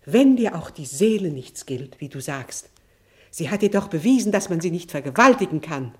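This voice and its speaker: An actress playing a proud, embittered estranged wife and mother in a German radio drama, speaking in a deliberate, theatrical, eloquent way. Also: lowish voice, big pitch swings, darkish tone. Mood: bitter, reproachful.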